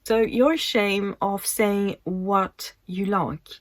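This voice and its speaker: A French woman in her thirties speaks English slowly and reflectively, her accent clear, her pitch in a middle range. She often trails off and restarts a thought. The sound is dry and close, with no room around her. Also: steady tone, no room sound. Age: 30s. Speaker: vlogger. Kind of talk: casual talk to camera.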